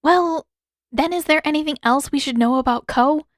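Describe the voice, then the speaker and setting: Woman voicing an earnest, high-pitched teenage girl. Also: normal speed, dry recording, clean studio sound, lightly acted. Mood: urgent. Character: teenage girl, earnest, impatient, easily flustered.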